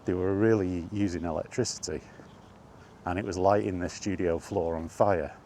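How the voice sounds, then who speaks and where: A man chatting casually on his own, enthusiastic but halting, with frequent ums and restarts. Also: low-pitched, fairly even intonation, warm tone, a little hiss or hum. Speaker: vlogger. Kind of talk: casual rambling monologue. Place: outdoors, walking.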